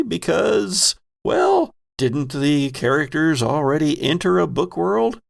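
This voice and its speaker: A man with a creaky, gravelly voice narrates as a horror game master. He takes his time, stretching phrases with theatrical, playful emphasis. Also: on the high side. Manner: dramatic, deliberate, playful emphasis. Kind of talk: theatrical narration for an actual-play horror podcast.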